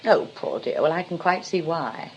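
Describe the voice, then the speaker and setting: A woman's voice: sits low, normal speed, slight background noise, natural voice. An actress playing a cool, teasing, sophisticated upper-class English society woman with an arch, languid manner in a stage-style comedy. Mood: cool, aggrieved.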